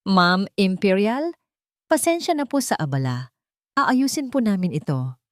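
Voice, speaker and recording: A middle-aged male hotel manager as voiced by a woman narrator in a dramatic story. The delivery is formal and official, with lively swings in pitch and a dry, close sound. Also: a little slow, no echo. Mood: apologetic. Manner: formal, deferential to clients, firm with staff.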